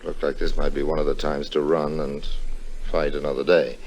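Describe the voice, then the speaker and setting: An actor playing a smooth, roguish American con man in an old-time radio drama, with a suave, glib way of talking. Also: a bass voice, flat delivery, moderate pace, a little mellow, a little hiss or hum. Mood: wary narrating.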